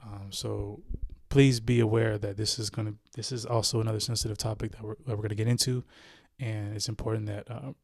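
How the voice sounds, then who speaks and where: A man's voice: fairly low pitch, level tone, a little fast, gravelly, few pauses, a little mellow, no echo, clean studio sound. A man hosting a podcast interview, laid-back and chatty, with lots of fillers and tag questions. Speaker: podcast host. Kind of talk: casual interview chat.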